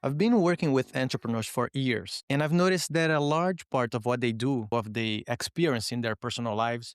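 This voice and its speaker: Male podcast host, calm explanatory read over a music bed. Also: medium pitch. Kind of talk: interview podcast with scripted promo.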